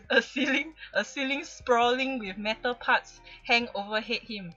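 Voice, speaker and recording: Bright, giggly Singaporean woman, muffled audio. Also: on the high side, expressive, slow pace, no echo, soft background music. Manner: giggly, often breaking into laughter mid-sentence. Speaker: livestream host. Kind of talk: playful dramatic fan fiction reading on livestream.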